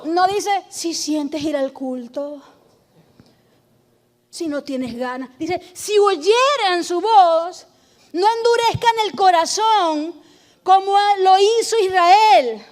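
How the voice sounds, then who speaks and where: A woman pastor preaching a sermon, emphatic and loud, calling on the congregation to repeat after her. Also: very high voice, slow pace. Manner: shouting, emphatic preaching with call-and-response.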